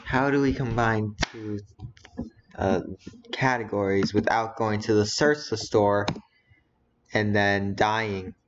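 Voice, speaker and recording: A man's voice: on the low side, fairly even intonation, takes it slowly, long pauses. A teenage boy streaming, muttering to himself as he browses and reads titles aloud. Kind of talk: casual live-stream commentary. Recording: dull lo-fi audio with faint noise.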